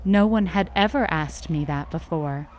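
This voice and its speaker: A woman narrating a short story in an intimate first person, with a measured, tender, dramatic delivery. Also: deep voice, a little mellow, a little hiss or hum, poor audio.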